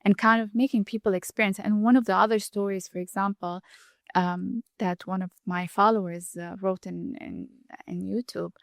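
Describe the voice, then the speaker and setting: Middle-aged Saudi-born woman, halting and dry. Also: medium pitch, steady tone, gravelly, dry recording. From Saudi Arabia. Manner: halting, many restarts and fillers. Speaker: guest, chef and food blogger. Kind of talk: casual interview chat.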